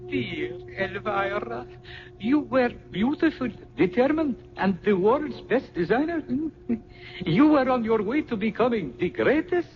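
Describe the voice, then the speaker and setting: A muffled old radio recording features a sly, smug villain. The high voice swoops slowly with silky mock affection, in radio drama style. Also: male voice, lively intonation, music in the background. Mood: gloating. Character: smug, sly villain addressing a defeated rival.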